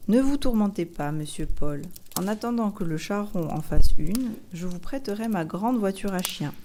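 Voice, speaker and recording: Woman voicing a patient, mellow manservant. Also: medium pitch, animated, normal speed, slight background noise, lightly acted. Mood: reassuring. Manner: patient, respectful, explanatory. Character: good-humoured, careful, obliging manservant.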